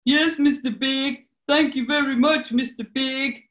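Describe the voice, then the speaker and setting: A woman's voice: sits high, fairly even intonation, unhurried, a bit bright. A woman playing a plucky, loyal bootlegger, earnest and firm, in a radio play. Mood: earnest, defiant. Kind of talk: 1940s-style radio play acting.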